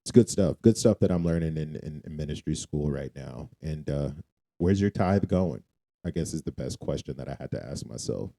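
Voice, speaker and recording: A man with an extremely low voice and a very dark, mellow tone co-hosts a faith podcast. He speaks informally and casually, repeating phrases for emphasis. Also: lively intonation, moderate pace, creaky. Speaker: podcast co-host. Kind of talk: casual faith-focused conversation.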